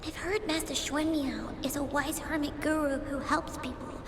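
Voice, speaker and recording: A woman's voice: high-pitched, steady tone, moderate pace, background noise. A woman voicing a humble, determined young girl in a video game, speaking earnestly and a little hesitantly. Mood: earnest pleading. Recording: echoey reverberant game audio under music.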